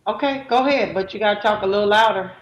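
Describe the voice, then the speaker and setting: A woman's voice: medium pitch, fast talker, roomy sound, dull audio, a crowd in the background. A woman hosting a live audio chat room, talking casually with many fillers and introducing guests. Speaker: host.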